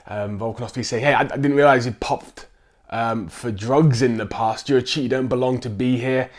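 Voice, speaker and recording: A man with a croaky voice from a chest infection, chatting casually about upcoming fights and filling his talk with ums and restarts. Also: mid-range voice, steady tone, moderate pace. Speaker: sports vlogger. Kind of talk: casual chat to camera.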